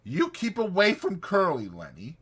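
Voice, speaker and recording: Irritable ranch hand played by a male narrator, bossy and protective, pitched up, forceful, rustic American speech. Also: wildly swooping pitch, normal speed, breathy edge, full character voice. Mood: angry, decisive. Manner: brusque, scolding, rural American dialect.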